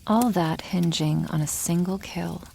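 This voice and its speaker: A woman voicing a protective, tender female dragon in a soft, intimate ASMR roleplay, with formal wording and a hushed, often half-whispered delivery. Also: lowish voice, unhurried, creaky, a little hiss or hum, poor audio. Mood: gentle, earnest.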